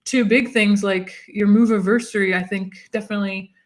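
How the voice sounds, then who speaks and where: A woman's voice: mid-range voice, steady tone, a little fast. A woman guest on a podcast, chatting casually and encouragingly, with frequent "like" fillers.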